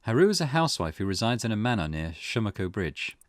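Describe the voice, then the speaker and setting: A man's voice: on the low side, very animated, fast, dry recording, studio quality. A man reading a visual novel aloud for a gaming video, with casual commentary of his own.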